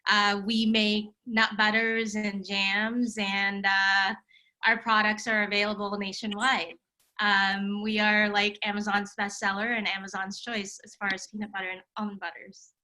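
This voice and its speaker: Bright-voiced woman from the Philippines. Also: medium pitch, little pitch movement, poor audio. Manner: halting, with "uh"s. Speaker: food company co-founder. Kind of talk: self-introduction on a panel. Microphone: video call.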